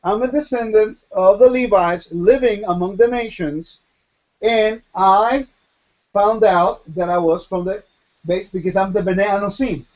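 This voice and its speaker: A man's voice: sits high, unhurried, a little mellow, lo-fi sound. A man from Puerto Rico teaching Torah in a question-and-answer session, emphatic and posing questions he then answers himself. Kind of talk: Q&A Bible teaching.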